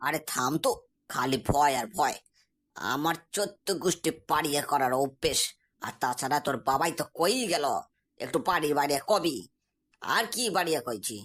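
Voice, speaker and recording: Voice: mid-range voice, moderate pace, fairly bright tone, husky. An actor voicing a boastful, cocky young man in a comic cartoon, talking in a breezy, insistent, colloquial dialect. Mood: dismissive reassurance. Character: boastful, cocky young man exaggerating to impress his friend's prospective father-in-law.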